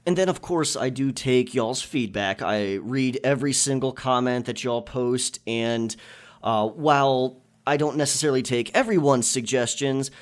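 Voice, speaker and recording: Guy with a medium pitched voice doing a laid out casual q&a for his listeners, kinda reflective, explains stuff. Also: clean tone. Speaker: ASMR channel creator answering listener questions. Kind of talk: casual Q&A monologue.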